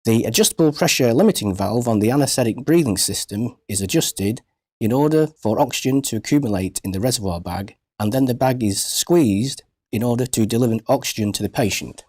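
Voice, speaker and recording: A man reading scripted instructional narration for a medical training film in a measured, precise, formal manner. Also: medium pitch, a little mellow, slightly rough, no echo, clean studio sound.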